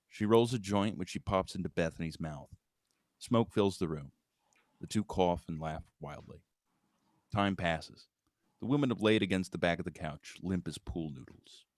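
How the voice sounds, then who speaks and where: A man's voice: deep voice, dry recording, clean studio sound. A man hosting an informal live script read, talking casually and narrating stage directions. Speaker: host, writer and stage-direction reader of a live table read.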